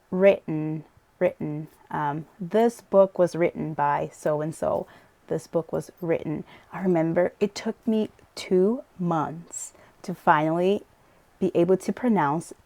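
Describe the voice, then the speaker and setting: A woman's voice: on the low side, a little mellow, no room sound. A woman from Brazil with a light Brazilian accent, teaching English pronunciation in a casual, chatty video lesson, laughing often and checking in with "right?". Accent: Brazilian Portuguese. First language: Portuguese.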